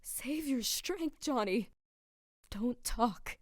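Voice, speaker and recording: A woman's voice: a little high, animated, drawn-out words, dry recording, studio quality, exaggerated voice. An actress playing a protective young woman in an audio drama, emotional, worried and reproachful. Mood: tender worry.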